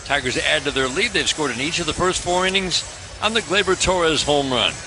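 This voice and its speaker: A man's voice: fairly high pitch, animated, brisk, rough recording. A man with an American accent doing live radio play-by-play commentary of a baseball game. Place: stadium broadcast booth. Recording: clear outdoor ballpark ambience noise.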